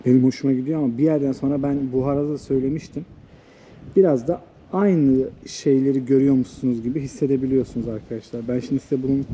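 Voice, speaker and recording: A man chatting casually and enthusiastically to viewers as a travel vlogger walking outdoors. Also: mid-range voice. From Turkey. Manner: informal, enthusiastic, conversational. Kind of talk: casual chat to camera while walking around. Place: walking around an old town outdoors. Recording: clear background noise, outdoor street ambience.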